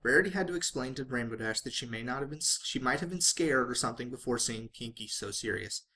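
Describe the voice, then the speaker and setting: A man's voice: medium pitch, animated, quick pace, bright, forceful tone. Man narrating a story, stumbling now and then. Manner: stumbles and rereads phrases. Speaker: fan fiction reader.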